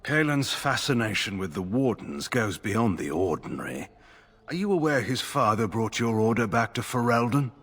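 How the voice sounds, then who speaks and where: Stern, husky-voiced fantasy general. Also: male voice, on the low side, expressive, fairly bright tone, exaggerated voice. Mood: brisk. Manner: formal, measured, curt. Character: stern, weary, proud middle-aged general and nobleman. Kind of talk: fantasy video game dialogue.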